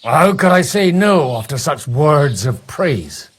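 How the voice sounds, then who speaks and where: An actor playing a shrewd, wry, roguish cleric in a video game, with a husky voice and a dry, unfazed manner. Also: male voice, a little high, very animated, slow, exaggerated voice.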